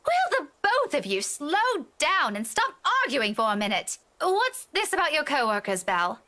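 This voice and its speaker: A woman puts on a young visual novel heroine with a high, bright voice. She is firm and concerned. Her lines swing up and down with earnest emphasis. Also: huge pitch swings, moderate pace, exaggerated voice. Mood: scolding. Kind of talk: visual novel character reading.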